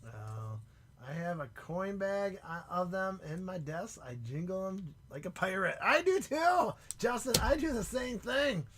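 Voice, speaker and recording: A man hosting a live stream in casual chat, excitable and prone to loud shouts and laughter, and losing his voice. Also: sits high, a little slow, slight background noise. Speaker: livestream host.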